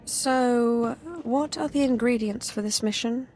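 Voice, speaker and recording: A woman's voice: on the high side, steady tone, a little slow, over music. A woman playing a cool, ruthless Imperial warrior in a video game, with dry, self-assured, sardonic delivery. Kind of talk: scripted game cutscene dialogue.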